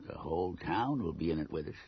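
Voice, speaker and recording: An actor playing a domineering small-town schemer in a radio drama, gruff, gravelly and conspiratorial. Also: male voice, extremely low pitch, expressive, fast talker, slight background noise. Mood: gloating, scheming. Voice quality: gravelly, raspy. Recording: muffled old radio drama recording.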